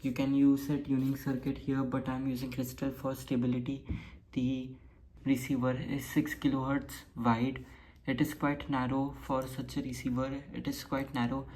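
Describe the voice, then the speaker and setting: Very low, flat-voiced woman, noisy recording. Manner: repeats phrases, short plain statements. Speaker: hobbyist radio builder presenting. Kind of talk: informal technical demonstration. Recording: noisy home recording with steady hiss.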